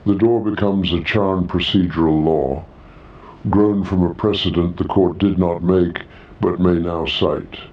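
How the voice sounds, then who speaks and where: Casting: ancient alien court elder played by a man, very low, grave and formal, muffled echoing sound, audio story. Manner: formal, deliberate, weighty phrasing. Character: very old, solemn alien court senior, measured and formal. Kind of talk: scripted audio drama character. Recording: roomy, muffled and distant.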